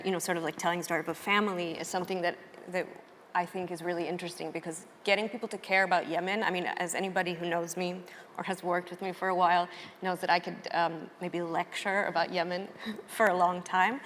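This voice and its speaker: A woman's voice: on the low side, fairly even intonation, quick pace, a bit bright. An American woman journalist speaking conversationally on a panel, hedging and thinking aloud as she goes. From United States. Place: live panel event in a hall. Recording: echoey hall, faint background noise.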